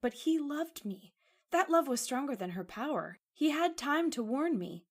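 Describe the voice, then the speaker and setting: A woman's voice: sits high, sing-song, moderate pace. A woman acting a shaken young woman in a radio horror drama, talking in an emotional, pleading way. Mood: haunted. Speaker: voice actor.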